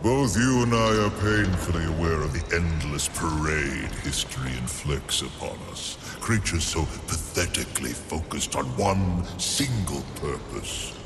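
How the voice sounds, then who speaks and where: An actor playing an ancient, enigmatic supernatural lord in a video game, brooding and ponderous. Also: male voice, extremely low pitch, unhurried, few pauses. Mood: brooding, intrigued. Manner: ponderous, philosophical. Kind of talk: video game cutscene acting. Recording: reverberant hall under clear music.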